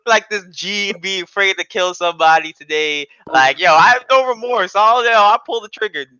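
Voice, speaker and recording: A young man with a bright, crisp voice banters casually, full of slang and ready to argue his point. He sounds boastful, and the recording is close and dry but a bit dull and lo-fi. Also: high-pitched, normal speed, dry recording.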